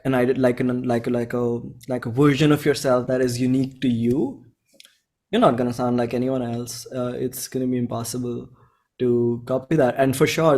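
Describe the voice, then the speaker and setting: Man, twenties, Indian accent, casual interview guest, medium pitch, earnest, halting delivery. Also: a little mellow. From Bhopal, India. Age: 20s. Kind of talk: casual chat.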